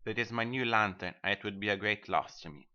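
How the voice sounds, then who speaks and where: A man's voice: on the low side, fast talker, bright, forceful tone, clean tone. A man playing a selfish, sanctimonious miller in a storybook reading, lecturing in a self-righteous manner. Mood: coolly refusing. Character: selfish, sanctimonious male miller, smugly preaching friendship. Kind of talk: scripted storybook dialogue. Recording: dull, band-limited audio.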